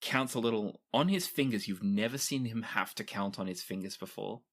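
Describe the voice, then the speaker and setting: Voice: medium pitch, moderate pace, a bit bright. A game master narrating scenes in a tabletop roleplaying game and speaking for its world.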